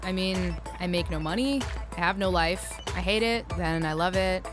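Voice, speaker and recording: A woman's voice: fairly low pitch, moderate pace, natural voice. A woman playing a brash, self-assured electronic music artist in a motion comic, slangy and cocky in delivery. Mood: intense, boastful. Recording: voice over clear music.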